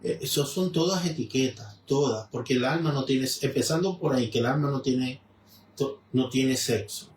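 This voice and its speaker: Male lecturer, calm explanatory reading, faint background noise. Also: mid-range voice, recorded from a distance. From Venezuela. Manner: measured, explanatory, many restarts. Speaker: religious lecturer reading and commenting. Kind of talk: spoken reading with commentary. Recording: echoey room, off-mic with faint noise.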